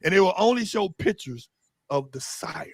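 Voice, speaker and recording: A man's voice: sits high, animated, moderate pace, no echo. A man guesting on an interview, telling stories loosely and bluntly with street slang. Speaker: interview guest, Doberman breeder. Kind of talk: casual conversational interview.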